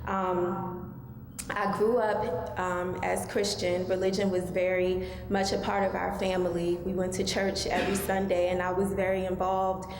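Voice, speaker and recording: Soft, hesitant woman in an echoey hall. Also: fairly low pitch, little pitch movement, measured pace, music in the background. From Maryland, USA. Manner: soft-spoken, hesitant, many ums. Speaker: guest speaker. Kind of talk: personal testimony talk. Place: mosque hall. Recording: echoey hall, faint hum.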